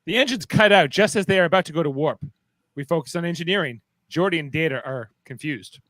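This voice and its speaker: A man's voice: a little high, fast talker, a bit bright, no echo, poor audio. A man narrating a radio play, reading out stage directions in the present tense. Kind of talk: scripted narration of a radio play live read.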